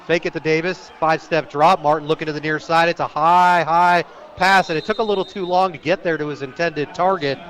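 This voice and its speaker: Male radio play-by-play sportscaster, fairly high-pitched, clipped descriptive delivery for live high school football. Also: brisk, few pauses, slight background noise. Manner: clipped descriptive phrases, excited on big plays. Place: outdoor stadium, live radio broadcast.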